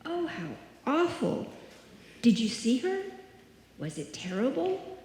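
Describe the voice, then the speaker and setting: A woman's voice: high-pitched, very animated, slow, a little mellow, full character voice. A woman acting an anxious, easily alarmed friend in an old time radio suspense play, dramatic and fretful. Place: live stage performance in a hall. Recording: very echoey, noisy live hall.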